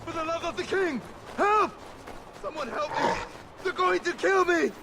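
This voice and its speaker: A panicked servant of the king in a video game. His voice is shrill and extremely high as he yells frantically. Very loud noise surrounds him. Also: measured pace, creaky, full character voice. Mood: terrified. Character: panicked loyalist of the king screaming for rescue. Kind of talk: video game cutscene acting.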